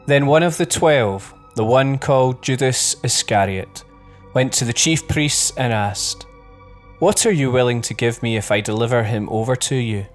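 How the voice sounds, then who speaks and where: A man's voice: medium pitch, moderate pace, faint music behind. A man giving a formal reading of Bible scripture. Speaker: Bible reader.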